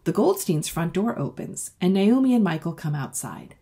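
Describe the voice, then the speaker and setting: A woman's voice: fairly low pitch, very animated, normal speed, creaky, warm tone, husky. A woman author reading a children's story aloud expressively and speaking directly to young listeners. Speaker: author reading their own book.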